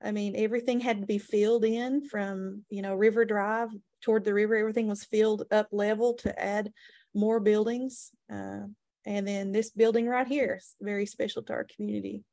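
A woman's voice: mid-range voice, level tone, normal speed, creaky, dark tone, dry recording, studio quality. A woman talking informally about local history on a video call. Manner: chatty, informal storytelling. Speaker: tourism director. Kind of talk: webinar presentation.

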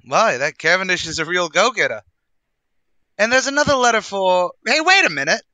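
A man's voice: high voice, very animated, fairly quick, sharp tone, no echo, full character voice. An actor playing a brash, boastful male tavern manager, in a wisecracking 1940s radio comedy style. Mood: chatty.

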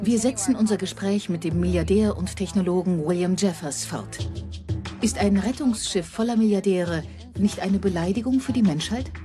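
A woman's voice: medium pitch, normal speed, over music, lightly acted. An actress playing a probing female news interviewer in a dubbed documentary drama. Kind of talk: broadcast interview, German dub.

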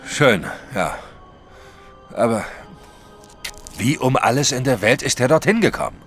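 An actor playing an excitable, emphatic French detective, baffled by a case, in a radio play. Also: male voice, mid-range voice, measured pace, soft background music. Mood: puzzled, insistent. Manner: dramatic, emphatic.

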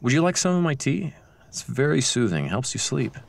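A man playing an overly polite, creepy neighbor who speaks with halting hesitation in an audio drama. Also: mid-range voice, very animated, gravelly.

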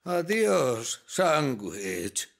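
A man's voice: medium pitch, very animated, vocal fry, slight background noise, full character voice. A man voicing a grumpy, pompous middle-aged fire chief with a blustery manner in a children's cartoon. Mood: reluctant. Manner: blustery, drawn-out delivery. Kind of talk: animated children's series dub.

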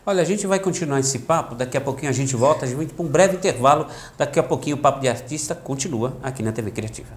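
A man's voice: medium pitch, expressive, quick pace, few pauses. A man, hosting a casual interview show on a lapel mic. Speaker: talk show host. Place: small indoor room. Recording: echoey small room.

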